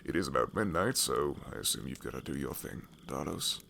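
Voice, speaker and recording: A jovial old fantasy wanderer, acted out by a man, talks in a theatrical, teasing way with a gravelly edge. His delivery swings widely in pitch, over faint night noise with crickets. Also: lively intonation, brisk, fairly bright tone, heavily acted. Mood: teasing, hearty. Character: hearty old uncle, teasing, hospitable leader of wanderers. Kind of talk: fantasy audio roleplay.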